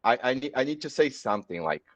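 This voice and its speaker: A man from Brazil speaking English with a Brazilian accent, a metal musician chatting on a podcast, halting and repeating words as he searches for them. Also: mid-range voice, sing-song. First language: Portuguese. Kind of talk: casual podcast chat. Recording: dull lo-fi audio.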